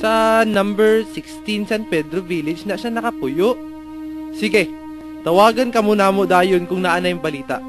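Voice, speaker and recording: A man's voice: sits high, unhurried. A man with a Filipino accent playing a stern, insistent lead detective in a melodramatic radio drama. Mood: businesslike, probing. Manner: insistent, questioning, authoritative. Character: stern, pressing male lead police detective. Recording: music under the voice.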